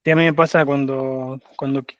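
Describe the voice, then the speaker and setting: A man's voice: medium pitch, little pitch movement, normal speed, fairly bright tone, no room sound. A man interviewing casually, hesitant and searching for his words. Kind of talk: casual conversation. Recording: dull dry sound.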